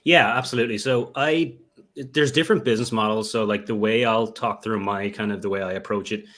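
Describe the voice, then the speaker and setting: A young man with an Irish accent talks through his answers in a lowish voice. He rambles and circles back, filling gaps with "you know" and "um" as he restarts his sentences. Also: steady tone, brisk, fairly bright tone. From Ireland. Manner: rambling, many fillers and restarts. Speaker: guest, Lego investor. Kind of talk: remote interview answers.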